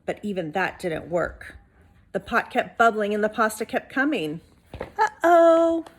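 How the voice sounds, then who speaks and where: A woman's voice: mid-range voice, very animated, unhurried. A woman reading a storybook aloud expressively to young listeners, with casual asides like a teacher.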